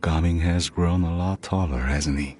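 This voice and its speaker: A man playing a reserved, stern middle-aged merchant father in a video game, speaking in a measured, formal way. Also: deep voice, a little mellow, a little hiss or hum, lightly acted. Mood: subdued. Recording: music behind the voice.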